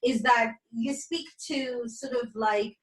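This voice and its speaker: A woman's voice: fairly high pitch, steady tone, slow pace. A woman co-hosting a podcast in casual, effusive and informal chat. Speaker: podcast co-host. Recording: roomy, distant from the mic.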